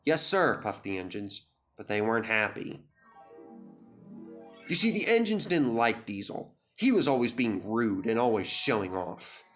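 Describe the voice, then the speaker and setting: A man's voice: fairly low pitch, animated, measured pace, a bit bright, faint music behind. A man narrating a scripted children's story as a dramatic storyteller.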